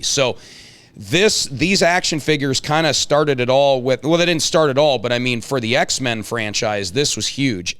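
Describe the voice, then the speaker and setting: A man's voice: medium pitch, a bit bright, smooth. A man in his forties hosting a casual interview, talking informally with slang and rambling asides. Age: 40s. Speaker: host.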